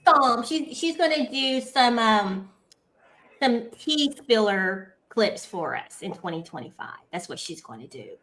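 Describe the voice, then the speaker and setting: High, bright-voiced woman hosting. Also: a little slow, a little hiss or hum. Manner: lively, playful, Southern-style "girl" and "y'all" banter. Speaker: podcast host. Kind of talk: casual livestream chat. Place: home, on a video call.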